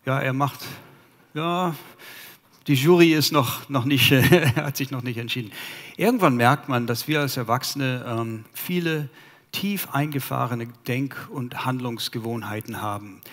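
A man in his fifties from the United States speaking German with an American accent and pausing to search for words, presenting to a conference audience on a headset mic in a large hall. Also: medium pitch, a bit bright. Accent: American English. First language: English. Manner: halting, searches for German words, many fillers. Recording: long hall reverb.

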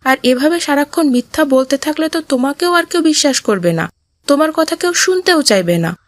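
A kind and pious young cartoon boy, voiced by a woman, high-pitched and recorded dry and close. He speaks earnestly, like a little moral guide in a children's religious story. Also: animated, fairly quick, no room sound. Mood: earnest, reproving. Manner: earnest, admonishing. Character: kind, pious boy who gently scolds and preaches. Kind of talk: Islamic moral cartoon voice acting.

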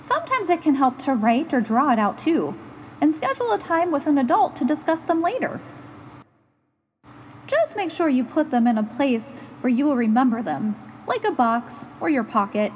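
A woman reading a children's picture book aloud in an animated, instructive, expressive way. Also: high-pitched, moderate pace, long reverb tail, slight background noise. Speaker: storytime reader and host.

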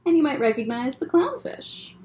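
A young American woman, a children's librarian, reading a picture book aloud to children with expressive, deliberate phrasing and short asides. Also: high voice, a little hiss or hum. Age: young adult. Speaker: children's librarian hosting story time. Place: indoor library room lined with bookshelves. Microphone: webcam at desk distance.

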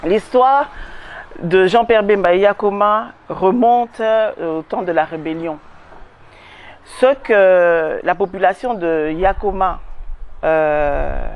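A woman's voice: on the low side, slow pace, lo-fi sound. A woman in her forties with a Congolese accent, giving an emphatic outdoor interview on a lapel mic. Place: outdoors in a garden.

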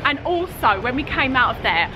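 British woman, high voice, chatty excitable vlogger, casual delivery, busy crowd behind her. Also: moderate pace, background noise. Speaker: family vlogger. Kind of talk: casual chat to camera. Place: outdoors on busy city streets. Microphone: handheld camera at arm's length. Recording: busy street crowd, loud background music.

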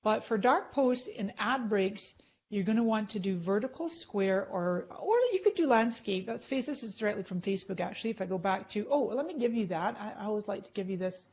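A woman's voice: medium pitch, animated, a little fast, darkish tone, dull audio. A middle-aged woman leading a chatty live tutorial on screen, clicking through and explaining as she goes. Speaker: social media marketing trainer.

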